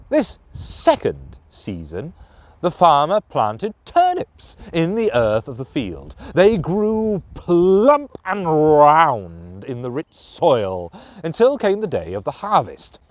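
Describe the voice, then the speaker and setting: A man narrating a children's fairytale as a storyteller, with theatrical, deliberate phrasing. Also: a little high, dry recording.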